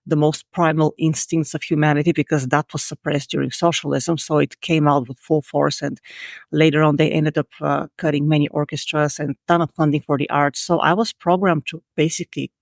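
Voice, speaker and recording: A woman's voice: low-pitched, little pitch movement, brisk, vocal fry, no room sound, clean studio sound. A woman in her forties with a Bulgarian accent, answering interview questions in a frank, earnest, self-critical way. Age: 40s. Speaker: podcast guest.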